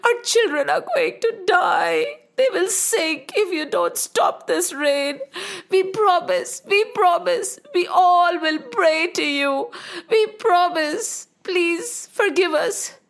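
A woman's voice: expressive, slow pace, vocal fry, fairly bright tone, full character voice. A woman voicing panicked villagers who cry and plead for help. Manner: frantic, pleading, high and urgent.

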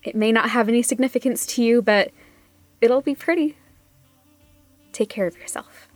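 Woman, highish voice, casual reflective monologue, gentle conversational delivery, soft phrase endings, dry recording. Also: normal speed, no room sound, faint music behind. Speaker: podcast segment presenter.

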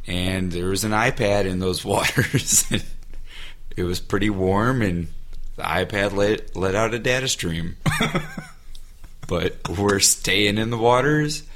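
A man in his thirties co-hosting a casual podcast, slightly hoarse from losing his voice, joking and laughing often. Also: on the low side, takes it slowly, a bit bright. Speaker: podcast co-host.

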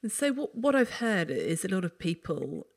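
A woman's voice: medium pitch, big pitch swings, moderate pace. A woman hosting a panel discussion, thoughtful and asking questions.

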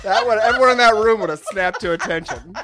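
A woman's voice: medium pitch, quick pace, over loud music. A woman co-hosting a pop-culture podcast, trading jokes and laughter in a promo. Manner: jokey, laughing banter.

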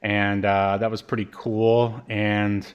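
A man's voice: lowish voice, little pitch movement, takes it slowly, smooth, highs cut off. Casual, wry male podcast host. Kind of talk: casual chat, game review.

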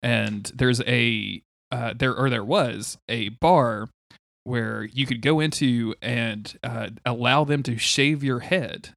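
A man's voice: medium pitch, slow pace, creaky, a bit bright, no room sound. A man co-hosting a podcast, teasing his partner with profane, mock-outraged banter. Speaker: podcast co-host. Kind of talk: casual comedic chat.

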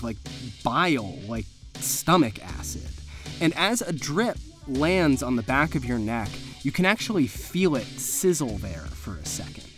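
A man working as game master, narrating an improvised horror tabletop game in a conversational, suspenseful way. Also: a little high.

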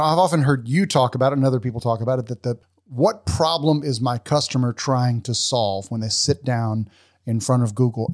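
A man who hosts a podcast, reading a scripted intro and then chatting with a guest in a friendly, upbeat way. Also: mid-range voice. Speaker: podcast host. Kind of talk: conversational podcast interview.

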